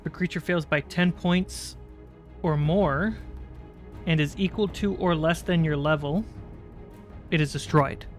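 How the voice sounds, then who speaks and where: A man's voice: on the high side, measured pace, pauses often, soft background music. A man acting as game master, explaining rules, prompting the player and giving short confirmations. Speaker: game master, host of the livestream. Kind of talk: tabletop game refereeing and narration.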